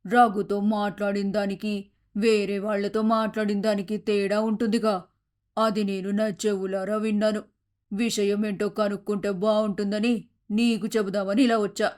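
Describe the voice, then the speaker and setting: A woman's voice: mid-range voice, flat delivery, moderate pace, darkish tone, lightly acted. A woman voicing a scheming, gossiping neighbour in an acted moral story. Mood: insinuating.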